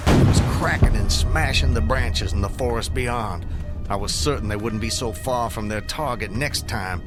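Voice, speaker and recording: An actor in a radio drama playing a dazed fugitive who recounts his escape in a halting, dramatic, old-fashioned storytelling manner. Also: lowish voice, lightly acted. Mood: earnest recounting.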